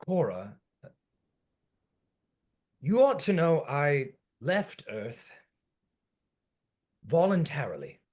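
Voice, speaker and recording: A man's voice: a little high, very animated, extremely slow, long pauses, a little mellow, heavily acted. A man playing a world-weary forty-year-old from another century, formal and fatalistic, in a dramatic table read. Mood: wry. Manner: formal old-fashioned phrasing, theatrical, fatalistic.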